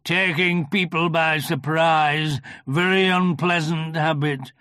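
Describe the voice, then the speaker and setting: A reader voicing a gloomy old male toy donkey in a children's storybook, gruff, ponderous and morose. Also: mid-range voice, fairly even intonation, takes it slowly, pushed and bright, no echo. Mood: gloomy, sarcastic. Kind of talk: children's storybook character reading.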